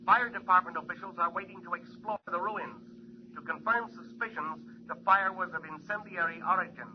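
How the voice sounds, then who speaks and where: A formal radio newscaster, car radio sound, high voice, loud hiss. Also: male voice, brisk, dull audio. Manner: formal news reading. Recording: scratchy 1940s radio transcription.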